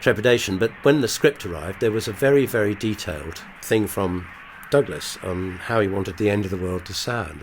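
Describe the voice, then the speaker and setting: A man reminiscing in an interview. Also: lowish voice. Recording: faint background ambient noise.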